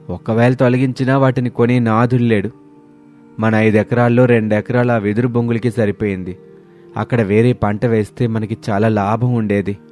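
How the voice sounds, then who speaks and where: Man acting an earnest grown son, middle pitch. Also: darkish tone, lightly acted. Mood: frustrated. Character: earnest grown son of a struggling village family.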